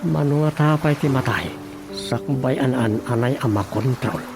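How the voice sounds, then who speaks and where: A man's voice: medium pitch, normal speed, music in the background, lightly acted. A man acting a grumbling village man in an Ilocano comedy radio drama, muttering and exclaiming to himself.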